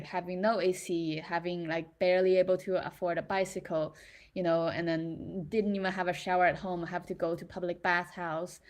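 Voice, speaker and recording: A young woman from China, talking reflectively and casually, with many fillers and restarts as she thinks aloud. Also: lowish voice. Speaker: creator in residency.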